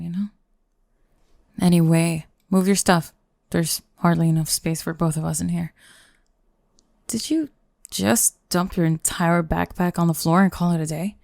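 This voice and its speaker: A woman's voice: lowish voice, a little mellow, no room sound. A young woman playing a sardonic goth bully girl in an intimate ASMR roleplay, grumbling and teasing the listener up close. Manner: teasing, grumbling, sighing, dropping into breathy murmurs. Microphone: close microphone, dry.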